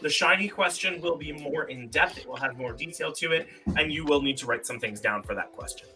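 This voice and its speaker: A man's voice: fairly high pitch, a little fast, sharp tone. A man hosting a casual live-stream quiz game, reading out questions in a playfully mock-pompous way. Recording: music behind the voice. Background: music.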